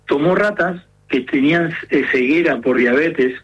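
A man's voice: fairly high pitch, a little slow, husky, dull audio. A man with an Argentine accent, a gerontologist explaining earnestly in a radio interview, with many fillers. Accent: Argentine Spanish. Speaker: gerontologist guest.

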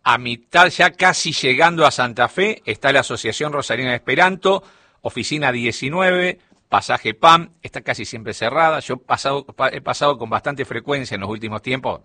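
A man's voice: medium pitch, bright, forceful tone, no echo. A middle-aged man with a Rioplatense Spanish accent, explaining a topic as a radio columnist in a studio chat. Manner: explanatory, storytelling delivery.

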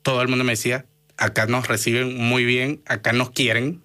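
A man's voice: mid-range voice, flat delivery, moderate pace, bright, forceful tone. A man in his thirties from Venezuela with a Venezuelan Spanish accent, a doctor answering interview questions earnestly, with many fillers and restarts. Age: 30s. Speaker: guest, doctor and activist.

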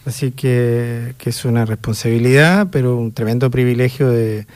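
A man's voice: medium pitch, moderate pace, darkish tone, no room sound. A man with a Chilean accent, an orchestra conductor speaking as a radio interview guest. He talks thoughtfully and hesitates often with fillers.

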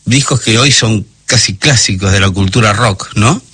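A man with a Buenos Aires accent presenting music on the radio, hoarse today, explaining things at length with many pauses and fillers. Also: on the low side, pushed and bright. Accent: Porteño Argentine Spanish. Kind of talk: casual radio chat.

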